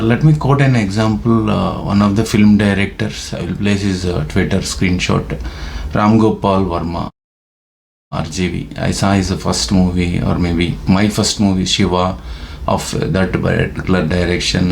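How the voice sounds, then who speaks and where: Low gravelly man with Telugu accent. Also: a little mellow, a little hiss or hum. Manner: rambling, hesitant with frequent fillers. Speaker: vlogger. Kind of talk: casual monologue to camera. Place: Detroit.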